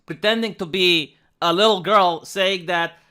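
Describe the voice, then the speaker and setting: High bright-voiced man with a Romanian accent. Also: measured pace, clean tone. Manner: earnest, emphatic, with frequent restarts and "like". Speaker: online commentator. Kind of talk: commentary video monologue.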